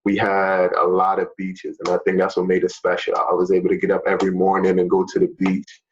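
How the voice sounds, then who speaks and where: Young male fitness teacher from Jamaica, very deep voice, relaxed and chatty, dull lo-fi sound. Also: brisk. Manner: relaxed, chatty, many fillers. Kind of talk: casual talk to students.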